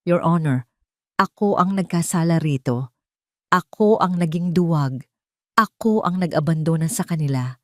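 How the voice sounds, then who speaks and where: A woman's voice: fairly low pitch, normal speed, pauses often, no echo, close to an everyday voice. Casting: earnest Filipino CEO father, determined and remorseful, audio drama, read by a woman, dry sound. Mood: resolute, remorseful. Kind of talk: audio story character acting.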